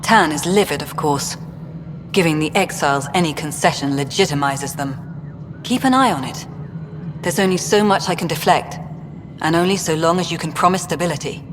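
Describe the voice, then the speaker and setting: An actress playing a stern, pragmatic colonial director in a video game, speaking in a clipped, dry, businesslike way. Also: female voice, deep voice, normal speed, over music, lightly acted. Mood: dry, guarded. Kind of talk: video game dialogue acting. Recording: hall reverb with clear background noise.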